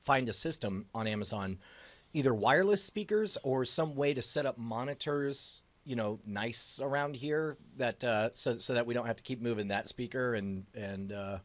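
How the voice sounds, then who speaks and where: Man chatting in a loose, informal way. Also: medium pitch. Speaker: podcast co-host. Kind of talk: casual chat.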